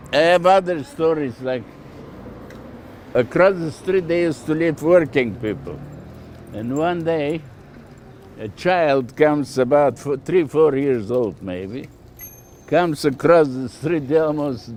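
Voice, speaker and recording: A man's voice: mid-range voice, a little mellow. An older man from Bulgaria with a Bulgarian accent, telling anecdotes casually and plainly as a shopkeeper being interviewed. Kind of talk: casual oral storytelling.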